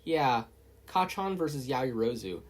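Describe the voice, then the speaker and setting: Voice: mid-range voice, very animated, a little slow. A narrator voicing an earnest, curious, friendly teenage boy student in a story.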